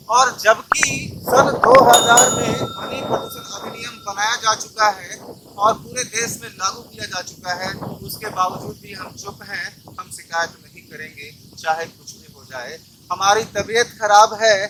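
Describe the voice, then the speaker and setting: A man's voice: very high-pitched, very noisy. A man delivering an emphatic, exhorting awareness monologue as an activist, full of rhetorical questions and repetition. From Bihar, India. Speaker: RTI activist, video presenter.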